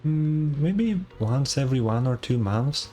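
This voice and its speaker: Man reading as a polite fast-food girl. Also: medium pitch, expressive, mellow, soft background music, close to an everyday voice. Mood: helpful. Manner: polite, customer-service helpful. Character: helpful, salesy female fast-food stall worker. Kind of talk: reading game dialogue aloud.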